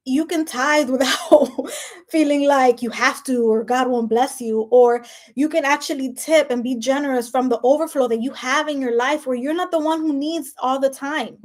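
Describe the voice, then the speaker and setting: A woman with a Spanish accent giving a casual motivational monologue as a life coach, chatty and emphatic, full of "like" and "right?". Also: high-pitched. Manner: emphatic and preachy.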